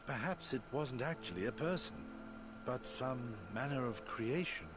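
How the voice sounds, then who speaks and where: An actor playing a courteous male scholar in a game cutscene, polite and gently inquisitive. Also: mid-range voice, animated, normal speed, noisy background, lightly acted.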